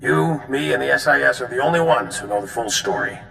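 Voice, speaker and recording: An actor playing a weary, gruff military general in a video game, with a gravelly voice and echo around it. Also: male voice, animated, brisk, a bit bright. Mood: weary, regretful. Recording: echoey hall, noisy rumble.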